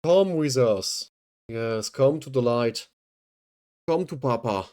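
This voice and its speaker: A man's voice: mid-range voice, lively intonation, slow pace, a little mellow, clean tone. A man streaming, sarcastically mocking a video as he watches it, often stammering and swearing. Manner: sarcastic, swears, jokes and mocks; stammers and repeats words. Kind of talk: casual reaction commentary.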